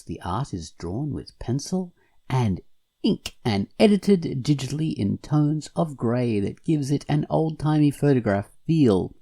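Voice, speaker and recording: A man co-hosting a podcast, chatting and reviewing in an animated, rambling, jokey manner. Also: mid-range voice, gravelly. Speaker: podcast co-host. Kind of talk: casual podcast chat and featured comic review.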